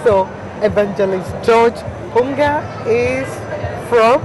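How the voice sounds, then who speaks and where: A woman's voice: fairly high pitch, takes it slowly, crowd noise. Effusive woman hosting at a noisy expo. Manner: effusive, says "wow" and "blessed" often. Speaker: talk show host. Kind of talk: on-site interview at an expo. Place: busy shopping mall expo. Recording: noisy background ambience.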